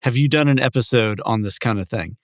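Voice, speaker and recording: A man's voice: medium pitch, a little fast, no room sound, clean studio sound. A man co-hosting a podcast, talking in a casual, conversational style with his partner. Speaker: podcast co-host.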